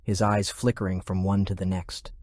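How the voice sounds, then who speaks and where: A man's voice: sits low, flat delivery, moderate pace, a little mellow, dry recording. A man reading scripted fiction as an audiobook narrator, close to the microphone.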